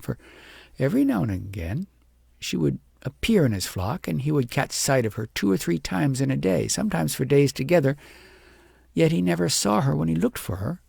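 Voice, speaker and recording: A man narrates a storybook with a heavily gravelled, husky voice. His delivery is measured and expressive, as an audiobook reader's is. The sound is dry, with very little room to it. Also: medium pitch, no room sound.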